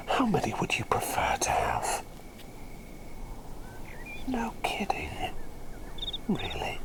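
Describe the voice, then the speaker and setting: A man playing an eccentric, excitable plague doctor in a whispered ASMR roleplay, breathy and gently doting, rambling and repeating himself with old-fashioned politeness. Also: a little high, heavily acted, rough recording. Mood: whimsical delight.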